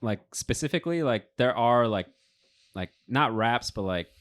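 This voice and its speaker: A man's voice: mid-range voice, expressive, moderate pace, fairly bright tone. A man chatting casually as a co-host on a podcast. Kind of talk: casual chat.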